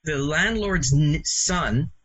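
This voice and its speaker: Bright, husky Canadian man in his sixties. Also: a little high, lively intonation, slow. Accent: English-speaking Canadian. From Montreal, Canada. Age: 60s. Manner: rambling, self-correcting. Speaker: interview guest, comics author. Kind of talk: casual reminiscing interview. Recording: muffled lo-fi audio.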